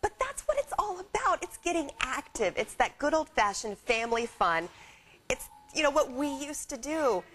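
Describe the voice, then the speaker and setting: A woman's voice: high voice, very animated, normal speed, fairly bright tone. A woman presenting products live on shopping television in an upbeat, chatty way, like sharing family stories. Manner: upbeat, nostalgic storytelling. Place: TV shopping studio. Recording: faint background studio noise.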